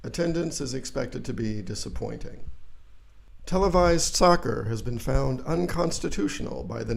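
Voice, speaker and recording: Self-serious anchor acted by a man for spoof news: gravelly, medium pitch, straight-faced and grave. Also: low-quality recording. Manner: deadpan, grave newsreader delivery. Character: earnest, self-serious male TV-style news reporter and anchor. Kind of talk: parody news broadcast.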